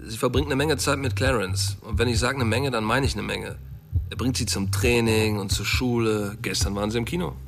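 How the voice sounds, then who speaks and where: An actor playing a streetwise young sidekick, loyal but pushy, talking colloquially and persuasively in a crime radio drama. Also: male voice, mid-range voice, fairly even intonation, fairly quick, gravelly, natural voice. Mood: confiding, earnest.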